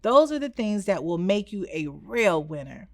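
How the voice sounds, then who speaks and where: A woman voicing a caring, encouraging father in a children's storybook, speaking gently and reassuringly. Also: mid-range voice, very animated, normal speed, no echo, clean studio sound. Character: caring, encouraging father talking to his son.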